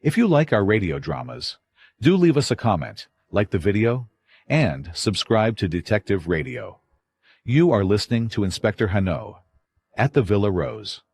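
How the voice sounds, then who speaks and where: A man with a husky voice reading scripted narration as an announcer. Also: fairly low pitch, very animated, moderate pace, a little mellow.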